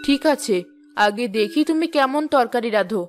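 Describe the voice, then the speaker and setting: A woman's voice: on the high side, normal speed, over music, close to an everyday voice. A woman voicing a poor, proud village wife in a cartoon, with a nagging, sarcastic and resentful manner. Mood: sceptical. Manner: complaining, sarcastic. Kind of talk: cartoon character dialogue.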